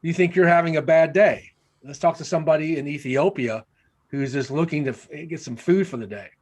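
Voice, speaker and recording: A man's voice: fairly high pitch, brisk. A man speaking earnestly as a panelist on a video call, with frequent restarts. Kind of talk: panel discussion.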